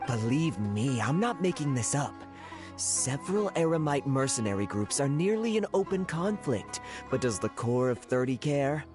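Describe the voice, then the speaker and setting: A man's voice: medium pitch, sing-song, moderate pace, close to an everyday voice. An actor playing a worried townsman who sighs and confides rumors of trouble in a video game. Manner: exasperated, confiding. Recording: game audio with clear music.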